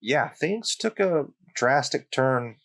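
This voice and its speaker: A man narrates a strange true story for his own channel, at an ordinary middle pitch. He talks loosely and conversationally, stopping now and then for wry asides aimed straight at the listener. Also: expressive, takes it slowly, a bit bright. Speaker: channel host.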